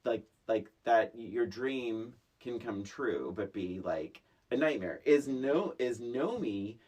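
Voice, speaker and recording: A podcast co-host chatting casually about movies in a blunt, animated way, swearing freely. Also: medium pitch, slow, slightly rough.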